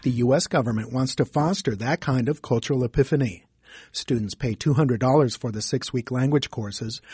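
Dark husky male news reporter. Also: medium pitch, dry recording. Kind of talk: scripted news narration.

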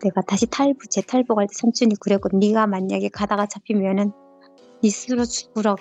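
A woman's voice: mid-range voice, fairly even intonation, a little fast, mellow, faint music behind. A woman in her thirties from North Korea, with a North Korean accent, telling her own story in an interview with many fillers and restarts. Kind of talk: personal recollection in an interview. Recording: dull lo-fi dry recording.

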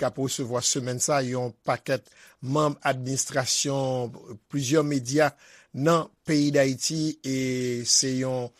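Radio presenter, dry close sound. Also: male voice, medium pitch, dry recording. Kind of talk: broadcast announcement.

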